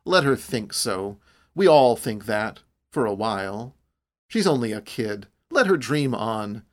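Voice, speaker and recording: A man's voice: medium pitch, animated, a little slow. A man voicing an idle dreamer of about thirty in a storybook, wry, laid-back and world-weary. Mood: soothing, dismissive.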